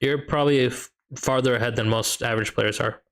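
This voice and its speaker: A young American man with a light Chicago accent streams a strategy game, talking in a relaxed, mid-pitched voice. He muses half to himself and reads out viewer questions as they come in. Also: fairly quick. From United States. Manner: thinks aloud, reads and answers chat questions. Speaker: streamer and high school math teacher. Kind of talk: casual live-stream chat while gaming.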